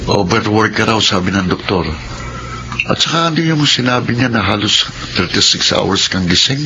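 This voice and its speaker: An actor playing a dutiful, responsible young adult eldest son in a radio drama, respectful and reassuring. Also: male voice, lowish voice, animated, moderate pace, sharp tone. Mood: concerned, resolute. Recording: dull, noisy radio drama audio.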